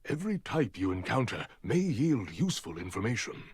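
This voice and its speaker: An actor playing a stern, authoritative older Spartan commander, speaking formally and deliberately in a video game drama. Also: male voice, lowish voice, sing-song, a little hiss or hum. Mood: measured, grave.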